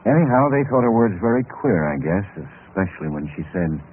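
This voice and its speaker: An actor playing a bitter, rueful convicted husband who narrates an old radio drama in a confessional manner. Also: male voice, lowish voice, big pitch swings, brisk, narrow, tinny audio. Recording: thin, noisy old radio recording.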